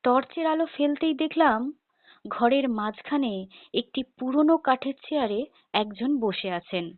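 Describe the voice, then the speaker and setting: A woman reading a scripted first-person ghost story in a measured, dramatic storytelling manner. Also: high-pitched, no echo. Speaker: horror story narrator. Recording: tinny phone-like narrow band.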